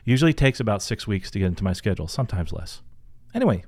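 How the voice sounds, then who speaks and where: A man's voice: fairly low pitch, sing-song, racing, a little mellow. A man hosting a podcast, giving career advice and answering listener questions in an informal, chatty, conversational way. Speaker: podcast host and career coach.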